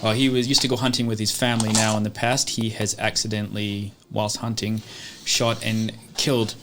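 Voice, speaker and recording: A man's voice: lowish voice, flat delivery, fairly quick, a bit bright, a little hiss or hum. A man casually introducing his character as a newcomer at a tabletop role-playing game.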